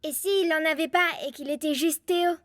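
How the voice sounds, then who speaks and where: Very high-pitched peppy cartoon girl. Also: steady tone, a little fast, dry recording, studio quality. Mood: thoughtful. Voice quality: childlike voice. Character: energetic bossy young girl, keen dance coach. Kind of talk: French children's cartoon voice acting. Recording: loud music behind the voice.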